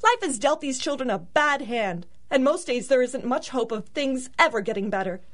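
A woman's voice: high voice, expressive, moderate pace, a bit bright. A woman playing a protective, righteous orphanage matron in a radio drama, earnest and stern. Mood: indignant. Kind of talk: 1930s-set radio drama acting.

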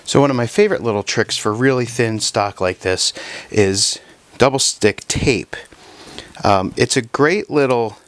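A man's voice: medium pitch, dry recording. Man explaining at a workshop bench. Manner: relaxed, halting, many fillers and restarts. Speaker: woodworking teacher. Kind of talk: casual workshop demonstration. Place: woodworking shop, indoors.

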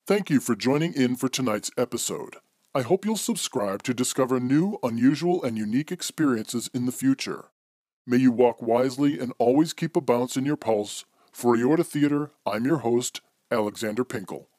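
A man's voice: medium pitch, expressive, moderate pace, mellow, no echo, clean studio sound. A man hosting with a scripted, formal, theatrical sign-off in the manner of an old-time radio mystery host.

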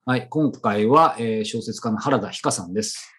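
A man's voice: on the low side, normal speed, a bit bright. A man hosting an interview podcast, chatting in a casual, informal way. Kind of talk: casual chat.

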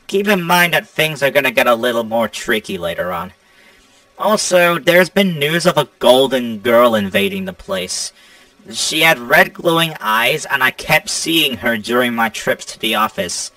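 A man's voice: mid-range voice, bright, forceful tone, dry recording, distant mic, rough recording. A man voicing a self-assured animatronic explorer, speaking in a showy, congratulatory manner in game voice lines. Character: self-assured male animatronic explorer greeting the night guard. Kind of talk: video game character voice lines.

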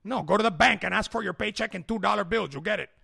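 Brief: male radio host, gravelly and bright-toned, profane and blustery. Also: high voice, fast talker, dry recording, clean studio sound. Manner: profane, argumentative, blustering, slips into Spanish slang. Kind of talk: crude comedic radio banter.

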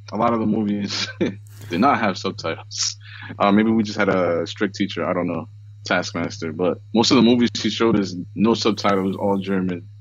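An American man in his thirties, co-hosting a podcast over a video call, relaxed and chatty with frequent chuckles. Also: low voice, dry recording. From United States. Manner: relaxed, chuckles often, many fillers. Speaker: podcast co-host. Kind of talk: casual video-call chat. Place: small room at home, indoors. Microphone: headset on video call. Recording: slightly dull, lo-fi call audio.

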